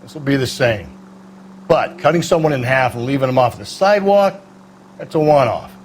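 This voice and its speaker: A man's voice: mid-range voice, expressive, moderate pace, a little hiss or hum, lightly acted. Man playing a jaded, blunt American veteran detective. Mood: cynical, matter-of-fact. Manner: blunt, world-weary, sardonic. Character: jaded, gruff male veteran homicide detective, cynical and dismissive. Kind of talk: cinematic video game acting.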